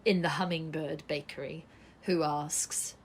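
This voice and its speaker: An actress in an audio drama playing a troubled, defensive woman who deflects and speaks haltingly. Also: sits low, fairly even intonation, slight background noise. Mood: evasive, brittle. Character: troubled woman resisting thoughts of her ex. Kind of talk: audio drama acting, poetic dialogue.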